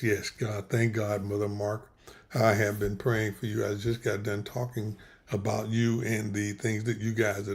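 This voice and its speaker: A man's voice: lowish voice, darkish tone. A man, a pastor, hosting a livestream in a casual, rambling way with many restarts, and he is losing his voice. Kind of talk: casual livestream talk with prayer.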